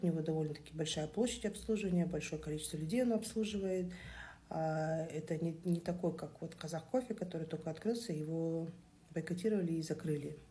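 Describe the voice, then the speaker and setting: Kazakh-native woman, lowish mellow voice, earnest casual rant with lots of um and uh. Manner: earnest, hesitant, with fillers. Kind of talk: casual opinion monologue.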